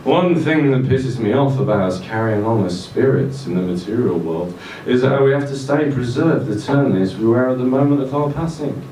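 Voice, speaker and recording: A man voicing a calm, wry dead pop star with a measured South London baritone, in a live story reading. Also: lightly acted. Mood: dry. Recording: roomy distant live-event recording, noisy.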